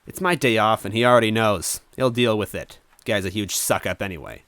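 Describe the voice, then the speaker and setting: A man's voice: on the low side, fairly quick, fairly bright tone, smooth, dry recording, voice pushed up. A man voicing an irritable red robot henchman, snappy and exasperated, in a story reading.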